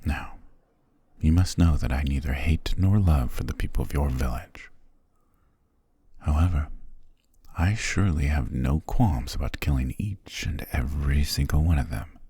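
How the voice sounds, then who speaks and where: A man performs an ancient male vampire who rules his coven in a fantasy ASMR roleplay. His voice is dark and gravelly, recorded close and dry. He speaks with archaic formality, commanding and possessive in every measured phrase. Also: no echo, heavily acted. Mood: cold, menacing. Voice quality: very deep, gravelly, breathy.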